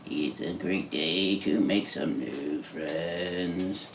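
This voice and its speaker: A man's voice: sits low, extremely slow, slight background noise, full character voice. A man voicing a sleepy puppet character who drawls wearily. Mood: weary.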